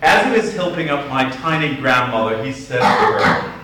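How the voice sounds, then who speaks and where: A man's voice: medium pitch, lively intonation, very noisy. A middle-aged man with a Boston accent, an archdeacon telling wry stories in a humorous sermon. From Boston, USA. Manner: wry anecdotal storytelling, slow deliberate delivery. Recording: roomy church sound from a distant mic.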